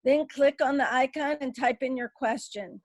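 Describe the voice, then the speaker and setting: A woman co-moderating a webinar, reading a formal introduction in a measured tone and then giving the audience instructions. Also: sits high, dry recording. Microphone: webinar call.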